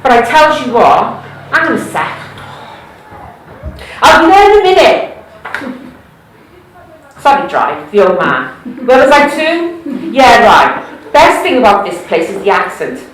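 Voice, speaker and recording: A woman's voice: on the high side, big pitch swings, measured pace, pauses often, slight background noise. A woman from Wales with a Cardiff accent, reading poems aloud at a live event with chatty asides in between. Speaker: poetry reader. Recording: echoey room.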